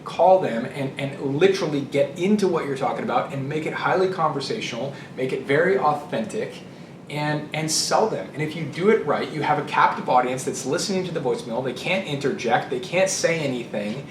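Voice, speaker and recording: A man's voice: a little high, lively intonation, brisk. A man giving conversational sales advice as a trainer and host, blunt and emphatic, repeating phrases for stress. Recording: echoey room, distant mic, noisy.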